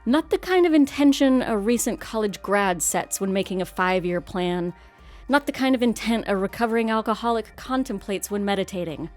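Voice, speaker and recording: A woman's voice: mid-range voice, moderate pace, a little mellow, faint music behind. A woman hosting a radio horror show, reading a scripted introduction in an arch, wry, theatrical manner.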